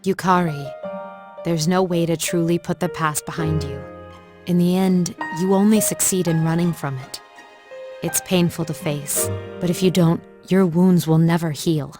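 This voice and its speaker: A very low female voice for a dignified, earnest older schoolgirl acted by a woman in an anime game dub. She speaks formally and with measured composure, over music. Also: steady tone, natural voice. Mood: solemn, consoling.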